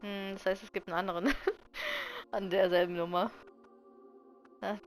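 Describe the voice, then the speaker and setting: A woman's voice: mid-range voice, huge pitch swings, normal speed. A woman giving casual let's-play commentary as a game streamer, reflective and thinking aloud. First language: German. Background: game music.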